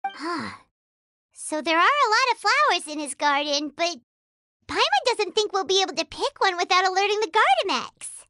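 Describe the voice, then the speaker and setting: A woman's voice: big pitch swings, moderate pace, bright, forceful tone, dry recording, clean studio sound, exaggerated voice. A woman voicing a tiny, childlike fairy companion in a video game, nervous, curious and given to exclaiming. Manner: refers to herself in third person, squeaky exclaiming.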